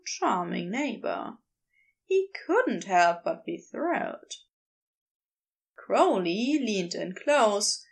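Female storybook narrator for romantic fiction; slightly slow pace, plenty of vocal fry. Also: fairly high pitch. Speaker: podfic narrator reading fan fiction aloud.

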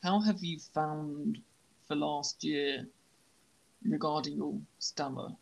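A young man who stammers, hosting a podcast and speaking in a halting, reflective way. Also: a little high, steady tone, vocal fry, rough recording. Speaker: podcast host. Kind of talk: podcast conversation.

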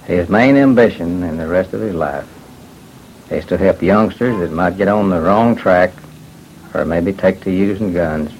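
Low-voiced man giving a statement. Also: measured pace, a little mellow, lo-fi sound. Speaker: interviewee making a statement. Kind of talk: plain prepared statement. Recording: old radio recording, faint noise.

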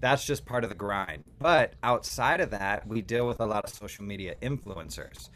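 A man's voice: on the low side, lively intonation, normal speed, fairly bright tone. A man speaking casually and at length as a guest music producer, with slangy, talkative phrasing. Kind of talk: casual podcast chat.

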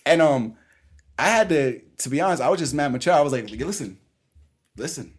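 A man's voice: a little high, a little fast, breathy edge. A man in his twenties telling a story in casual, slangy chat, often laughing as he goes. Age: 20s. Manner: animated, slangy, laughs through his stories. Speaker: podcast co-host.

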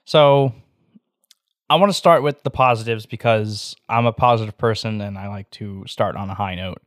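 A man's voice: lowish voice, normal speed, no room sound, clean studio sound. A young man co-hosting a card game podcast, rambling casually with lots of fillers and self-deprecating jokes. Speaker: podcast co-host. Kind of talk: casual chat.

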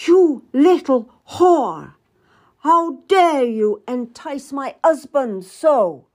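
Woman as wronged queen in a historical audiobook, imperious, bitter, high-pitched, slow emphatic delivery. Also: big pitch swings, a little mellow, exaggerated voice. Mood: furious, scornful. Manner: imperious, emphatic, spitting out words.

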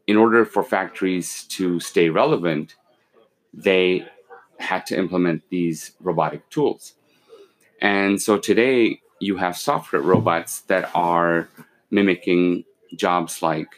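A man in his forties, born in Pakistan and raised in London and Kuwait, calmly explaining his company as a podcast guest, with many fillers and restarts. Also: sits low, rough recording. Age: 40s. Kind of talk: casual interview, explaining business.

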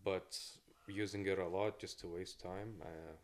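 A man's voice: sits low, fairly even intonation, a little slow. A man in his twenties from Kuwait, a podcast guest talking in an easygoing, laid-back way. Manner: easygoing, laid-back, with frequent fillers and short laughs. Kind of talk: casual interview chat.

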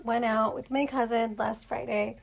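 A woman's voice: a little high, little pitch movement, normal speed, creaky, breathy edge, rough recording. A woman in her thirties telling a dating story in casual chat, chatty and full of "like". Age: 30s. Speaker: podcast co-host.

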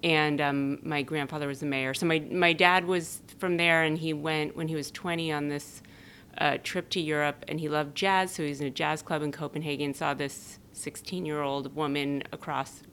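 A woman with a notably low-pitched voice talks as a podcast guest. She rambles in a lively, casual way, full of fillers and restarts. A faint low rumble hums behind her. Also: moderate pace. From born in Denmark, raised in California. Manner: animated, rambling, with many fillers and laughs. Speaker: guest, activist and performance artist. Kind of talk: casual conversational interview.

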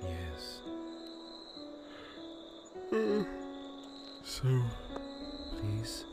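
An actor playing a weary, forgiving younger brother in a fantasy audio drama, mildly stubborn and given to sighing. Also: male voice, lowish voice, huge pitch swings, drawn-out words, over music, full character voice. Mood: sheepish, drowsy. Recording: noisy track with cricket ambience.

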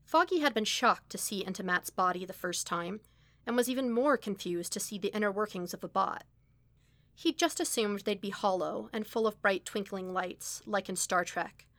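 A woman reading a fan story aloud in a steady, even storytelling manner. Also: medium pitch, normal speed, dry recording. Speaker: podfic reader. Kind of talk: scripted narration of a fan story.

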